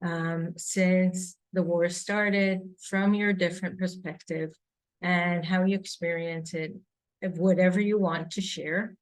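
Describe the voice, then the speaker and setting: Female moderator, low voice, very slow and hesitant phrasing. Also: steady tone, low-quality recording. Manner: hesitant, searching for words. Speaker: panel moderator. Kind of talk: moderating a panel.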